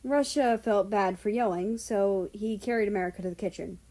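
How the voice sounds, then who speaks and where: A woman's voice: mid-range voice, a little fast, mellow. A woman reading a third-person fan fiction story aloud as a narrator.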